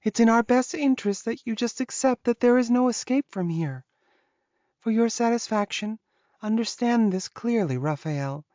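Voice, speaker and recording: A resigned, loyal witch, played by a woman explains things matter-of-factly in an audiobook. Her voice is fairly high and mellow, recorded dry. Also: expressive, normal speed, no echo, highs cut off, natural voice. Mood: firm, stern. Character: resigned, loyal young witch guarding a captive.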